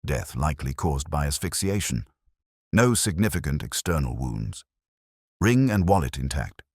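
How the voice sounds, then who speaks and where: A keen, analytical Victorian detective, as a male narrator plays him, speaks in a very deep and animated voice. He is precise, inquisitive and coolly commanding, like a storybook sleuth giving orders to his companion. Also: moderate pace, breathy edge, dry recording, studio quality, heavily acted. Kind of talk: audiobook character dialogue.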